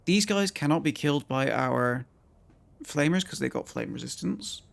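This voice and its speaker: A male streamer with a croaky voice, chatting casually to his viewers as he thinks aloud and plans his moves in a strategy game. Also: a little high, expressive, moderate pace. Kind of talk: casual gameplay commentary to chat.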